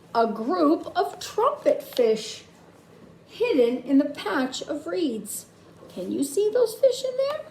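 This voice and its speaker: A woman reads a children's story slowly, with a high and lively voice. She speaks straight to young listeners, inviting them into the book. The room adds echo to her voice. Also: vocal fry. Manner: expressive, addressing young listeners with questions. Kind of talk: read-aloud children's story with asides to listeners. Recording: roomy echo, faint background noise.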